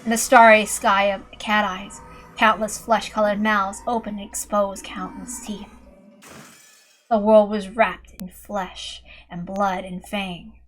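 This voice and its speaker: A woman's voice: mid-range voice, little pitch movement, slow pace, fairly bright tone, faint music behind, low-quality recording. A woman reading a fantasy story aloud as an amateur narrator, in a dramatic style. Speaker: amateur reader.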